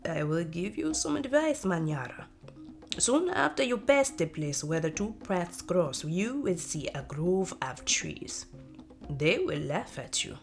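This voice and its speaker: Woman acting a calm, mysterious old woman advising. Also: on the low side, unhurried, vocal fry, faint music behind, heavily acted. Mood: calm, cautionary. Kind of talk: storybook character voice.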